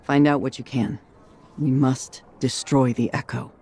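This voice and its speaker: Serious resolute army commander in a game, dark mellow mid voice, terse briefing. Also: male voice, medium pitch, fairly even intonation, husky. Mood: grave, resolute. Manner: terse, serious, measured urgency. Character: grave, resolute military commander briefing a trusted soldier. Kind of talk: dramatic video game acting.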